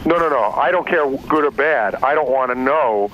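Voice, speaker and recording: A man's voice: mid-range voice, normal speed, a bit bright, a little hiss or hum. A man on a recorded phone call, insistent and confrontational.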